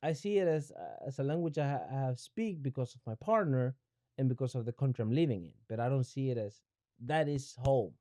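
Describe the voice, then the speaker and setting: A young man from Ecuador, Spanish his first language, thinks aloud as a podcast guest. His voice is warm and mellow, his sentences often restart and circle back, and the sound is close and dry. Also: mid-range voice, expressive, brisk, no echo. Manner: searching, with frequent restarts and repeated words. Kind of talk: reflective conversational interview.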